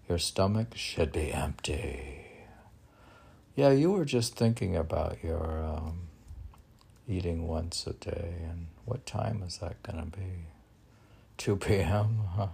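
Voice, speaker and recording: A man's voice: sits low, vocal fry, darkish tone, breathy edge. A man reading his own poems, rambling and questioning himself in a second voice. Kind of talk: casual self-questioning poetry reading.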